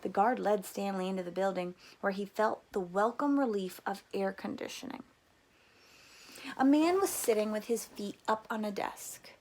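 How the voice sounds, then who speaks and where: A woman reading a children's novel aloud as a teacher, with expressive storytelling delivery. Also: medium pitch, moderate pace.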